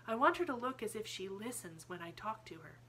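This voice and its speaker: A woman's voice: medium pitch, big pitch swings, fairly quick, pushed and bright, slight background noise, voice pushed up. A woman voicing an earnest, imaginative seven-year-old girl in an audiobook, thoughtful, precise and eager. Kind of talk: audiobook character voice.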